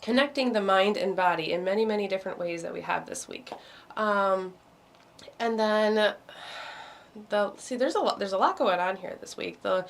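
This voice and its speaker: A woman hosting an online astrology meditation, talking casually with many ums and restarts, her voice going hoarse. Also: medium pitch, moderate pace. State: losing her voice. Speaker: meditation host. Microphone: video call.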